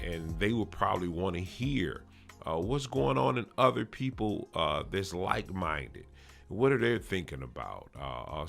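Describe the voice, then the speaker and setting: A man hosting a podcast, informally announcing an upcoming show and speaking directly to listeners in a halting way. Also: low voice, vocal fry, fairly bright tone. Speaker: podcast host.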